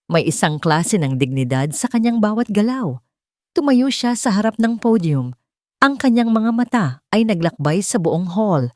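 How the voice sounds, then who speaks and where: A woman reading scripted dramatic narration as a storyteller, in a measured, deliberate manner. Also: medium pitch, expressive, dark tone, dry recording, studio quality.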